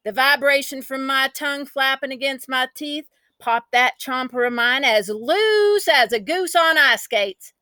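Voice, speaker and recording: A woman acts out a spirited, boastful country child narrating a storybook. The voice is fairly high and bright, with folksy, dramatic emphasis, and it sounds dry and close. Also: measured pace, hardly any pauses, no room sound. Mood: chatty, eager.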